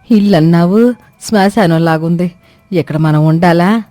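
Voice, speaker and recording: A theatrical older village mother-in-law, acted by a woman, grumbles and exclaims in a cartoon moral tale. Her voice is dark and slightly low, recorded very dry with soft music behind it. She scolds in earthy, colloquial speech. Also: dry recording, natural voice. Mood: scornful. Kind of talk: animated moral story cartoon dubbing.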